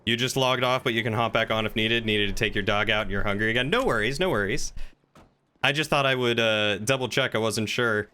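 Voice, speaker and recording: A man's voice: on the low side, brisk, pushed and bright. A man live streaming, chatting casually with viewers in an effusive, talkative way.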